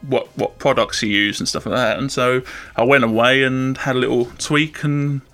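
A man's voice: medium pitch, fairly even intonation, normal speed, fairly bright tone, soft background music. A man speaking as a guest musician in casual chat, rambling and informal in a colloquial way.

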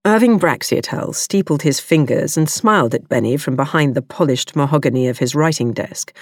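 Woman, audiobook narration, dry close studio sound, expressive character reading. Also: low-pitched, no echo. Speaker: audiobook reader.

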